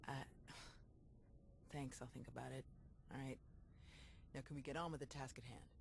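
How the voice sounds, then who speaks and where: A battle-hardened female Jedi in a game cutscene, with clear noise around the voice. She is dry and blunt, carrying a weary sardonic edge. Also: a bass voice, steady tone, a little slow, exaggerated voice. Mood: reluctant, exasperated. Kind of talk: video game cutscene acting.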